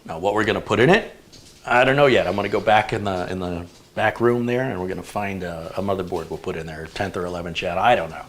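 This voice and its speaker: Chatty low-voiced man hosting a stream. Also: quick pace. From United States. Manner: relaxed, chatty, thinking aloud with fillers. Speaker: show host. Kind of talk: casual live stream chat.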